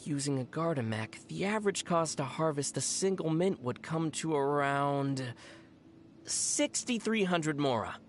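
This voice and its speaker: Low-voiced, wry young adventurer. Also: female voice, animated, slight background noise. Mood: matter-of-fact. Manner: wry, measured, thoughtful. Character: calm, sensible, teasing young female adventurer. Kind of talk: video game voice acting.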